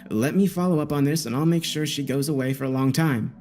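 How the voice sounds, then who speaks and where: A man's voice: medium pitch, lively intonation, fairly quick, darkish tone, lightly acted. A man voicing a firm, coaxing male police detective questioning suspects in a dramatic audio story. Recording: background music behind the voice.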